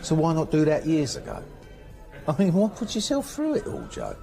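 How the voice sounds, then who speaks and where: A man's voice: on the high side, wildly swooping pitch, normal speed, gravelly, dark tone, faint music behind, exaggerated voice. A man playing a chummy, incredulous fellow prisoner in a radio drama, firing colloquial, needling questions.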